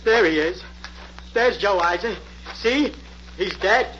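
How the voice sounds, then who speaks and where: Frightened, high-pitched simple man pleading. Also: takes it slowly, fairly bright tone. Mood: shaken, agitated. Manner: panicky, pleading, repeats himself. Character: frightened, simple-minded farm witness pleading his innocence. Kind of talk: 1940s radio acting. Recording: muffled, noisy old-time radio recording.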